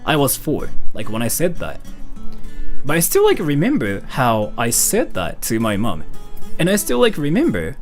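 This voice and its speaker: Guy from japan rambling on a live stream, says like a lot, voice jumps up and down, soft guitar behind. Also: mid-range voice, huge pitch swings, moderate pace. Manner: rambling, heavy use of "like" fillers. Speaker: live streamer reading donations.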